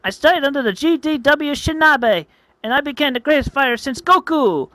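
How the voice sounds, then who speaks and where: Woman as a high, excitable little fighter creature. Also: brisk, dry recording. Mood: boastful, cheerful. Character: cocky, excitable newly hatched male creature, little fighter.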